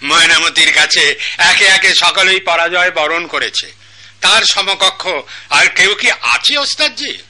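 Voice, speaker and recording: A man's voice: high-pitched, expressive, a little slow, pushed and bright, slight background noise, heavily acted. A man with a Bangladeshi accent playing a proud, imperious king in a radio drama, regal and declamatory. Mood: boastful. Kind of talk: Bengali radio drama acting.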